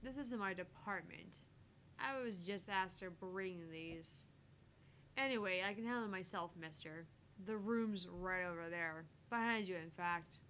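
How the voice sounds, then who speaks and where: A woman's voice: fairly low pitch, unhurried, fairly bright tone, slight background noise, low-quality recording. A woman playing an irritated, sardonic professional heroine, reading visual novel lines with clipped politeness. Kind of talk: reading visual novel dialogue and inner thoughts.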